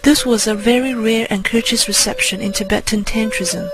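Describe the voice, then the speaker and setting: A woman narrator with a slightly high voice tells a first-person story in a measured, scripted way. Music plays clearly behind her throughout. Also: fairly even intonation, poor audio. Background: music under the voice.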